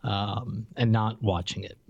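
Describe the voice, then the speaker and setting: A man's voice: lowish voice, steady tone, a little slow, gravelly, dry recording, highs cut off. Dry-sounding close recording of a casual man. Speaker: podcast co-host. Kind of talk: casual chat.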